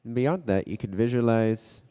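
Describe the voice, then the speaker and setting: Man, meditation guide, very mellow dark tone, unhurried short phrases, long reverb. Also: mid-range voice, clean tone. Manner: measured, halting delivery in short phrases with pauses.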